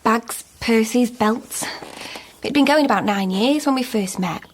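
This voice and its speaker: A woman's voice: fairly high pitch, a little slow, slight background noise, close to an everyday voice. An actress playing a courteous, open woman, reminiscing reflectively in a naturalistic radio drama. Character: courteous, open woman recalling her late friend.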